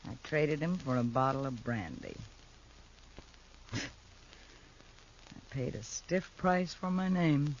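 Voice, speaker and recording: A guilt-ridden frontier man, in a 1950s radio western. He speaks very slowly in a fairly high, rueful voice. The old recording is dull and faintly hissy. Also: animated. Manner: slow, rueful and confessional. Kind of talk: 1950s radio drama acting.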